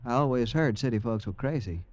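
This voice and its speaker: A man's voice: mid-range voice, brisk, a little mellow, natural voice. A man playing a hesitant, folksy country farmer. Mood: folksy plain. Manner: hesitant, folksy, full of uh's. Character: plain, good-natured male country farmer with toothache. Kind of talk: 1940s radio acting. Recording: dull old radio recording.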